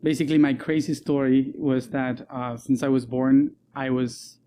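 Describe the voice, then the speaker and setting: Soft-spoken man. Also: mid-range voice, level tone, a little hiss or hum. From Argentina. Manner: soft-spoken, laughs often, many um and uh pauses. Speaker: guest. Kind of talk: casual interview talk.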